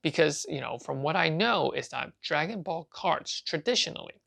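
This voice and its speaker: A man who grew up in Canada and Hong Kong, hosting a card-collecting channel and talking casually to camera, halting with many restarts and fillers. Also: on the high side, animated, creaky, bright, forceful tone. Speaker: trading card seller and channel host.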